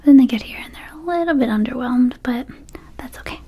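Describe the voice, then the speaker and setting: A woman voicing a small, friendly vampire shopkeeper in an ASMR roleplay, whispering gently and haltingly to the listener as a close friend. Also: fairly high pitch. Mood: affectionate, sheepish. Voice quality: soft, breathy whisper. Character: small friendly female vampire shopkeeper, quirky, needy, affectionate. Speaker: ASMR performer.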